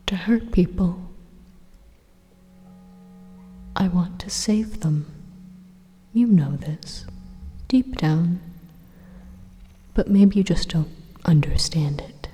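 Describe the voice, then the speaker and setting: A woman acts out a rogue supercomputer for an ASMR roleplay, coolly menacing and persuasive. The voice is dark, soft and somewhat low, with a breathy whisper to it. It unfolds its monologue slowly and deliberately, pausing for drama. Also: full character voice. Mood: calm, insistent. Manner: slow, deliberate, hushed monologue with dramatic pauses. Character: evil supercomputer machine, calmly menacing, persuasive would-be savior. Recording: long hollow reverb on the voice.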